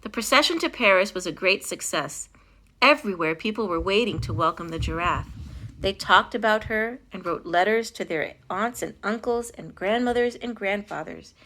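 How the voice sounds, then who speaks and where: A woman with a bright, forward voice reads a children's story aloud. Her delivery is expressive and dramatic, leaning on key phrases as a storytime host does. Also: medium pitch, moderate pace, low-quality recording. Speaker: storytime host reading a picture book.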